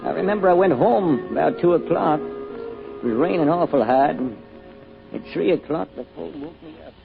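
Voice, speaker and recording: A man's voice: on the high side, expressive, normal speed, vocal fry. An actor playing a spirited, affectionate American small-town grandfather and druggist in an old-time radio drama, with homespun turns of phrase. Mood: reminiscing. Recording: tinny vintage radio recording with music.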